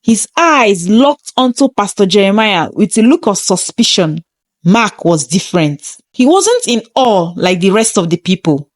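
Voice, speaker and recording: A woman's voice: mid-range voice, sing-song, measured pace, no room sound, studio quality. A woman reading a scripted first-person story in a dramatic, suspenseful manner.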